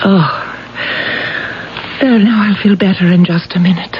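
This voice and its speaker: Actress as a frail suffering wife, muffled recording. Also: female voice, medium pitch, fairly even intonation, unhurried, lightly acted. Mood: weary, suffering. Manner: weary, gentle. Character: frail, suffering wife urging her husband out. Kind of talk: 1970s radio drama acting. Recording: dull, faintly noisy vintage radio recording.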